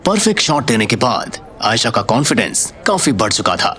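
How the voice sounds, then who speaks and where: A man's voice: medium pitch, big pitch swings, moderate pace, crowd noise, background noise. A man narrating a scripted audio story in a dramatic storytelling style.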